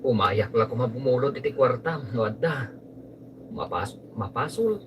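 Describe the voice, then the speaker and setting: A man's voice: mid-range voice, expressive, normal speed, distant mic, background noise, close to an everyday voice. A man playing a needy, pleading debtor in a radio drama, with an Ilocano accent. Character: needy, desperate male neighbor begging a loan.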